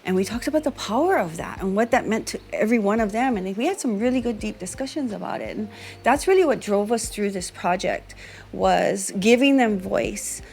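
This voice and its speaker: A woman's voice: mid-range voice, expressive, fairly quick, a little mellow, breathy edge. Reflective Hawaiian woman mixing in island words. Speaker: music teacher and workshop leader. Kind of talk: documentary interview.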